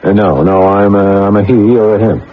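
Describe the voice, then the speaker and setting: A man's voice: on the low side, fairly even intonation, normal speed, slight background noise, natural voice. A man playing a flustered, well-meaning computer inventor in a radio drama, hesitant and prone to stammering. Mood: patient, awkward. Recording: dull vintage radio recording, music behind. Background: music.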